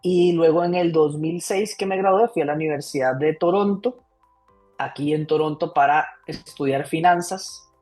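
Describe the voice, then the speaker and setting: A man in his thirties from Costa Rica, a comedian guest telling his life story in a casual, lively interview. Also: fairly high pitch, moderate pace, faint music behind. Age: 30s.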